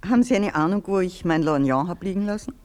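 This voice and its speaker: Woman doing posh chatty lady of the house in a radio play, lowish voice. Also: animated, fast, darkish tone, natural voice.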